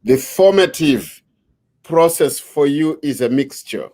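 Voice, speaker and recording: A middle-aged man hosting an interview, a father of three, speaking deliberately with frequent fillers as he frames his questions. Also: on the high side, expressive, mellow. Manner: slow, deliberate, with many fillers. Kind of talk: conversational interview.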